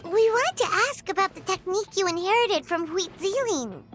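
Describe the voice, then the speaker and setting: A woman voicing a small, cheeky, chirpy floating companion in a video game, with a squeaky childlike voice. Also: expressive, moderate pace, a bit bright, faint music behind. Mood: exasperated.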